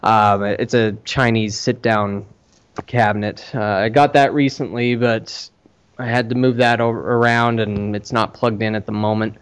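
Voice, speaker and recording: A man hosting a podcast, chatting casually in a rambling, halting way about his own business. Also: fairly low pitch, little pitch movement, clean tone. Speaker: podcast host and arcade owner.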